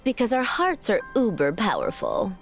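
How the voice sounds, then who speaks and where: A woman's voice: medium pitch, huge pitch swings, normal speed, soft background music. A woman voicing a sassy young villainess in a game, sarcastic and scornful. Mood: mocking.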